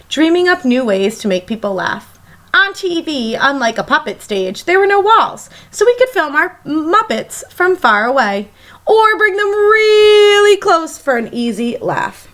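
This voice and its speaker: A woman teacher reading a picture book aloud to children in an expressive, emphatic storytelling manner. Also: high voice. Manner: animated, emphatic, pauses to question young listeners.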